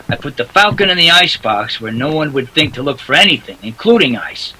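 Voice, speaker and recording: Man playing a bright-voiced, hard-boiled noir detective. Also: a little high, a little hiss or hum, poor audio. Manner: hard-boiled, clipped, defiant. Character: tough, wisecracking male private detective in 1940s noir. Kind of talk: 1940s radio drama acting with first-person narration.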